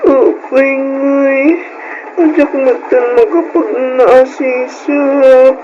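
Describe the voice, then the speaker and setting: A woman playing a gravely ill young boy in an Ilocano radio drama, with a Filipino accent and a weak, plaintive delivery. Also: high-pitched, fairly even intonation, slow pace. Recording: dull lo-fi radio, faint music behind.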